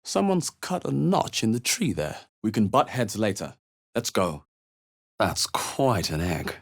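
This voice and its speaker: An actor playing a wry, wary adventurer hero in a fantasy video game, speaking in a measured, understated, dry way. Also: male voice, fairly low pitch, huge pitch swings, creaky, full character voice. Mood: wry, watchful. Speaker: video game player character voice. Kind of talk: scripted fantasy game exploration barks.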